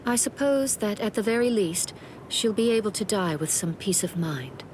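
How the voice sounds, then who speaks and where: Anime game casting: actress as calm female officer, mid-pitched, gentle and formal, clear rumble behind. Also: moderate pace, natural voice. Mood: composed, reflective. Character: composed, reflective woman officer. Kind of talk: scripted anime game dialogue.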